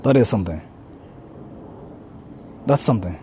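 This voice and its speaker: A man's voice: fairly low pitch, lively intonation, normal speed, dark tone, slight background noise. A young man streaming live while exploring outdoors, talking casually and rambling in asides to his viewers. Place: outdoors exploring a rural area.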